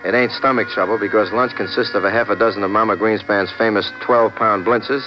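Guy doing a breezy american tough-luck sleuth, unruffled, talks fast, dull old radio recording. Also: fairly low pitch, fairly even intonation, music in the background, close to an everyday voice. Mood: wry narration. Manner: casual, wry, unruffled. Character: breezy, streetwise male drifter and amateur sleuth. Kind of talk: 1950s hardboiled radio drama acting and narration. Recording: muffled vintage radio broadcast.

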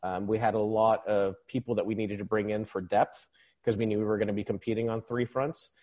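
Male, 30s, from the Philippines, lowish voice, casual football podcast guest. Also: little pitch movement, brisk, darkish tone. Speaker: podcast guest, football supporters' club member. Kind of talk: casual conversational sports commentary.

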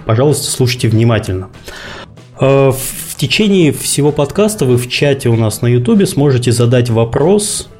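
A man with a mid-range voice co-hosts a live podcast. He explains things in a measured way and slips in light jokes. Faint music sits behind him. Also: mid-range voice, big pitch swings, a little mellow. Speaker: podcast co-host. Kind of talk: live podcast hosting, announcing rules.

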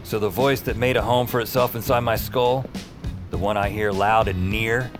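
A man playing a lonely, deadpan loner who narrates his own life in an audio drama. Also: fairly low pitch, normal speed, natural voice. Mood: wry, downcast. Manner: deadpan, wry. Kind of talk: first-person scripted narration, audio fiction. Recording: music under the voice.

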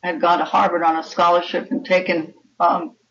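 A woman's voice: on the low side, flat delivery, moderate pace, fairly bright tone. An older woman speaking conversationally as an author guest, emphatic and full of firm opinions. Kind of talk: conversational interview. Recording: muffled, distant microphone.

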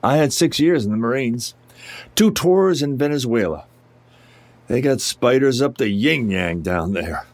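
A man's voice: medium pitch, animated, unhurried, no echo, lightly acted. A man playing a mocking, swaggering, blunt ex-Marine enforcer in an audio drama. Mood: taunting. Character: cocky, needling, foul-mouthed ex-Marine tough guy. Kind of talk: audio drama acting, taunting monologue.

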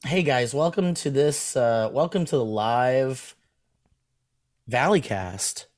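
A man's voice: medium pitch, expressive, slow pace, a little mellow. A man co-hosting a casual podcast livestream from his home, joking around and laughing often. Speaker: podcast co-host. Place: home in Los Angeles valley.

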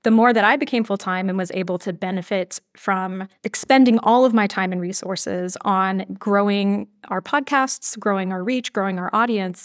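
Woman, mid pitch, casual interview guest, dry close sound. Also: no room sound. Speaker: interview guest, audio fiction creator.

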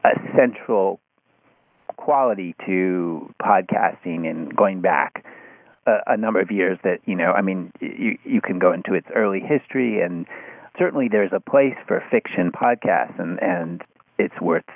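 A man being interviewed as a writer, talking thoughtfully and haltingly, repeating words as he works out his point. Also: sits low, gravelly, no room sound. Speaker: interview guest, magazine writer. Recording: thin, phone-like line.

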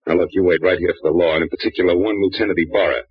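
An actor playing a wisecracking hard-boiled private detective in 1940s radio drama style, on an old radio recording. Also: male voice, sits low, steady tone, rapid-fire, breathy edge, dry recording. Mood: sardonic. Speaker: narrator and lead. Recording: dull old radio broadcast.

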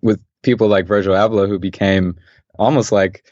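A young man from Los Angeles, a podcast guest and designer, talking thoughtfully and calmly in a relaxed interview and laughing easily. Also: fairly low pitch, moderate pace, dry recording. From Los Angeles, USA. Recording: dull, dry podcast audio.

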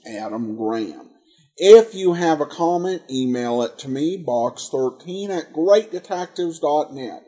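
A man with an American accent and a slightly husky voice, reading a scripted podcast introduction as its host. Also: high-pitched, mellow.

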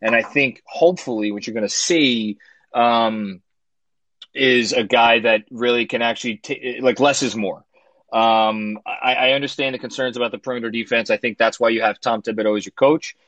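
Quick, stat-heavy male sports host. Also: mid-range voice, steady tone, a bit bright, no room sound, rough recording. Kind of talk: live call-in sports talk.

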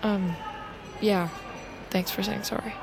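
A guarded teenage girl in an audio drama. She speaks at a middling pitch, hesitant and understated, with clear music behind her. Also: steady tone, slow. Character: guarded teenage girl hiding secret powers. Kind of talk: naturalistic audio drama acting.

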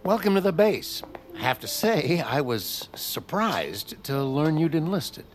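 Slow, reserved army corporal. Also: male voice, fairly high pitch, sing-song, a little hiss or hum. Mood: reserved, deliberate. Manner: hesitant, deliberate. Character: measured, reserved army corporal, quietly ominous superior. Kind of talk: audio drama acting.

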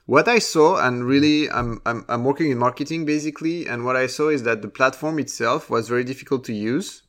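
A man's voice: medium pitch, a little fast, fairly bright tone. A man hosting a casual podcast interview, asking questions and often stumbling over his words. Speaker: podcast host.

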